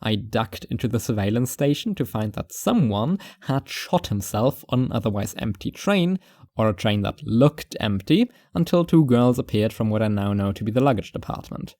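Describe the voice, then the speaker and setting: Male actor as reclusive, paranoid first-person narrator for audio fiction. Low, dry close sound, musing and wry. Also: animated, moderate pace, darkish tone, dry recording. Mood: reflective.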